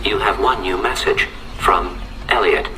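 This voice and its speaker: A man voicing an impassive computer system in a video game, formal and deliberate. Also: low voice, heavy background noise. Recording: echoey, with loud music behind.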